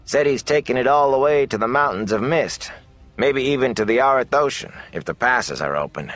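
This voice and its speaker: A man voicing a chatty, excitable middle-aged innkeeper with folksy, gossipy talk in a fantasy audiobook. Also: mid-range voice, normal speed, pitched above the performer's own voice, lightly acted. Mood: boastful, gossipy. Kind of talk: fantasy audiobook character dialogue.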